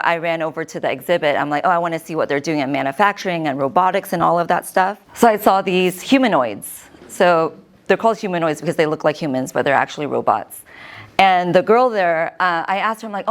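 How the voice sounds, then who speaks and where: An American woman in her thirties, a host giving a lively personal talk to an audience and often quoting herself. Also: fairly low pitch. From United States. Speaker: host and keynote speaker.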